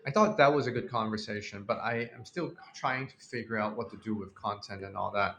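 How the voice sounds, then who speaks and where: A man's voice: fairly low pitch, fairly quick, fairly bright tone, echoey room, a little hiss or hum. A young man from Hong Kong chatting on a live stream and reading out viewer comments, rambling with many fillers and restarts.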